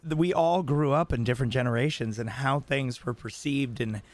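A man in his late thirties co-hosting a podcast, with a slightly gravelly voice, talking bluntly and jokingly with lots of fillers. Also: mid-range voice, moderate pace. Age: 30s. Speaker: podcast co-host. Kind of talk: casual chat.

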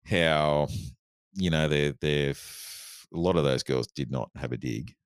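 Laid-back aussie guy, super deep voice, chatting on a podcast. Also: measured pace, clean tone, no echo. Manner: easygoing, reads out figures. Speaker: podcast co-host. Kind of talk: casual chat.

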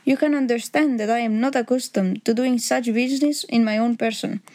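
A woman's voice: on the high side, steady tone, brisk, a little mellow, close to an everyday voice. A woman voicing a proud, agitated male aristocrat in an audiobook story, speaking in a formal, pompous, grave way. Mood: formal, confiding. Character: huge proud Bohemian nobleman, secretly a king, agitated, formal.

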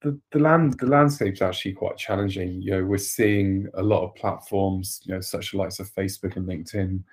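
A man from Wales with a Welsh accent, a guest explaining his work in a relaxed way with some stumbles. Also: sits low, fairly quick. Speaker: guest, agency director. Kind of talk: casual interview talk.

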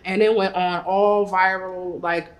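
A woman's voice: fairly low pitch, flat delivery, slow pace. A woman who is a rapper and a mother, answering interview questions in an upbeat, chatty way with many fillers. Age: adult mother.

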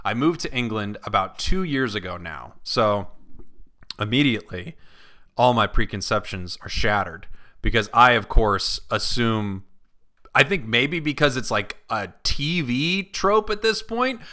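A man's voice: medium pitch, lively intonation, a little slow, sharp tone. A young man hosting a comedy podcast, joking playfully and reading a post aloud. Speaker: podcast host. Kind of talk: casual comedic podcast chat.

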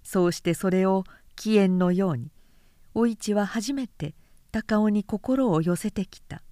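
A woman reading a literary story aloud as narrator, in measured scripted storytelling. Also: medium pitch, warm tone, no echo, clean studio sound.

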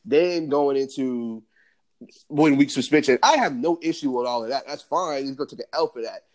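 A man co-hosting a sports podcast, talking excitedly in casual slang. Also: mid-range voice, moderate pace. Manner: excited, animated, lots of slang. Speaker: podcast co-host.

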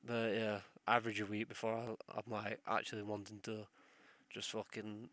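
A man's voice: on the low side, completely flat delivery, moderate pace, fairly bright tone, dry recording. Sick young man rambling, sweary. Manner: rambling, sweary, loses train of thought. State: sick, sore throat, sniffly. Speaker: podcast host. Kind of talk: solo casual podcast ramble.

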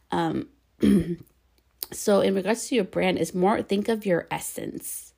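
A bilingual woman hosting a solo podcast in a lowish voice with a gravelly fry running through it. She talks fast and tumbles over her words now and then, coaching listeners in a loose, encouraging way. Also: a little mellow. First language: bilingual Spanish and English. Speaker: podcast host. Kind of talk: casual solo podcast talk.

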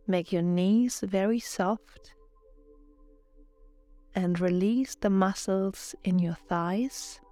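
Slow-paced woman guiding meditation. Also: medium pitch, no echo. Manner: calm, soothing, measured instruction. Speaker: yoga nidra meditation guide. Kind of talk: guided relaxation meditation narration. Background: background music.

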